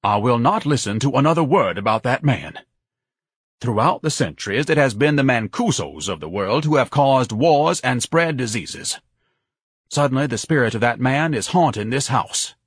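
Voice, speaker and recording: A pompous, melodramatic grown son from an audiobook, acted out by a man. He declaims haughtily in a husky voice that swings widely in pitch, dry and close. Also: lively intonation, normal speed, no echo. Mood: outraged. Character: pompous, indignant, self-pitying grown son, grandiose and melodramatic. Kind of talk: audiobook character voice.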